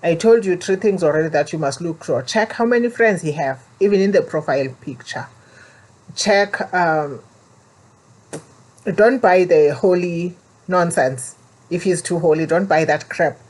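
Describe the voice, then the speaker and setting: A woman's voice: on the low side, measured pace, a little mellow, poor audio. A woman with a South African accent telling viewers her own story and warning them, earnest and preachy, often repeating herself. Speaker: vlogger.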